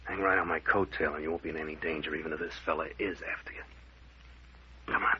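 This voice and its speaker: An actor playing a tough, commanding captain in an old-time radio mystery serial, giving brisk orders. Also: male voice, extremely low pitch, steady tone, muffled recording. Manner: brisk, bossy, clipped orders. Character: tough, commanding captain investigating eerie graveyard happenings. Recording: noisy, band-limited old radio recording.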